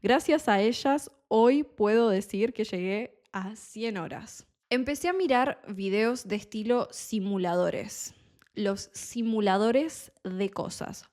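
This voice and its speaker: A young woman with a Buenos Aires Spanish accent, a Spanish tutor talking in a slow, clear teaching monologue and explaining words as she goes. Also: medium pitch, a little mellow. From Argentina.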